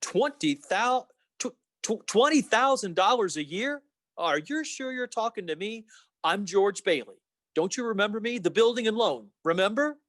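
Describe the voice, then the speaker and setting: A man acts out a flustered young family man in a vintage radio play. His voice is high and swings widely, stammering earnestly through folksy exclamations. The sound is dry and close. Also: expressive, normal speed, a bit bright, no echo. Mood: astonished. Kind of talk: old-time radio play acting.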